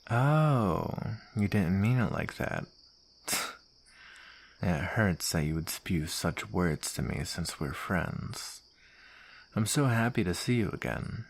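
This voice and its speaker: A man's voice: low voice, very animated, takes it slowly, vocal fry, husky, exaggerated voice. A man playing a smug soldier villain in an ASMR roleplay, taunting and drawling with mock sweetness and menace.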